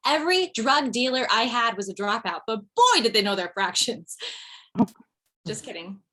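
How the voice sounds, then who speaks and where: A woman playing a widowed mother in her forties who rambles through dark jokes with a wry, punchy comic delivery. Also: a little high, sing-song, normal speed, heavily acted. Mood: wry joking. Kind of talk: table read of stand-up style monologue.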